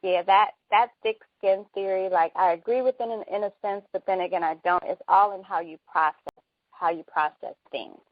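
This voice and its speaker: A soft-spoken, mild-mannered woman hosting a casual conversation. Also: mid-range voice, fairly even intonation, normal speed, dry recording. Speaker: host. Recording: dull, dry lo-fi recording.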